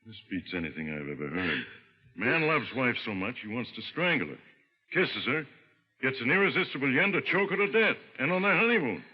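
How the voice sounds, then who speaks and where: Animated, slangy old-radio police inspector. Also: male voice, medium pitch, brisk, slightly rough. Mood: wry, baffled. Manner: slangy, wry, world-weary. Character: gruff, wisecracking, skeptical male police inspector. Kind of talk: mid-century American radio crime acting. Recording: vintage radio, muffled, long reverb.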